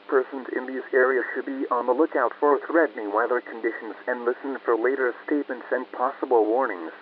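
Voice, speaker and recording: A man reading a scripted weather watch bulletin as a radio announcer, formal and impersonal. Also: mid-range voice. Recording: tinny phone-like weather radio, faint noise.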